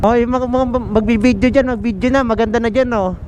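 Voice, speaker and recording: A man's voice: very high voice, steady tone, a little fast, a little mellow. A Filipino man chatting casually as a motovlogger over a helmet intercom. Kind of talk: casual chat. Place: outdoors, riding a motorcycle. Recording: very noisy motorcycle intercom, road noise.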